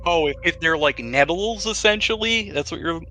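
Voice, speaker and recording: A man's voice: a little high, lively intonation, normal speed, sharp tone, a little hiss or hum. A man speaking casually as game master, describing scenes to players in a tabletop game.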